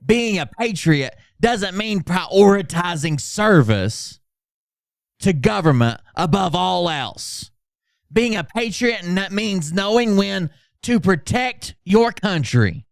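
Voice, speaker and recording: A man's voice: high voice, animated, slow, creaky, no room sound, clean studio sound, heavily acted. A man doing an over-dramatic reading as a pompous, self-important doomsaying orator, grandiose and declamatory. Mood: grave, solemn.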